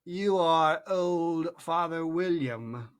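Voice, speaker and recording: A parent reading aloud, voicing a contemptuous, curt caterpillar in a slow, drawn-out way. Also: mid-range voice, lively intonation, smooth. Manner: slow, drawn-out, clipped replies.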